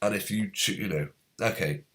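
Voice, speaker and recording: A man hosting a live stream, reading out viewers' comments in a halting, rambling way, his voice going hoarse as a cold comes on. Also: on the low side, fairly bright tone. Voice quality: slightly hoarse. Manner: rambling, halting, many fillers.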